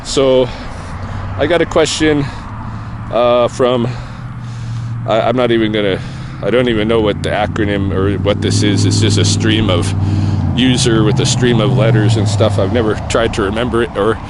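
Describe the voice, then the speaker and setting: A man talking casually to camera while out on an evening walk, thinking aloud in a loose, rambling way with pauses and soft chuckles. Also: fairly low pitch, steady tone, heavy background noise. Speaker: vlogger answering a viewer question. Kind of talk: casual walking vlog. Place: outdoors on an evening walk near traffic.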